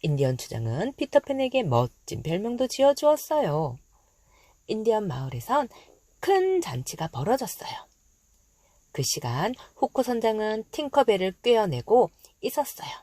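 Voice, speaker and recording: Casting: female children's storyteller, expressive and animated, with a dry recording. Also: mid-range voice, normal speed, dry recording.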